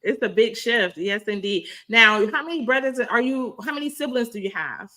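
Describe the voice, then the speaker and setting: A woman hosting a podcast, her voice a little raspy, talking with effusive, repetitive, preacher-like enthusiasm. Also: a little high, animated, moderate pace, pushed and bright. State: slightly raspy voice. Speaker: podcast host.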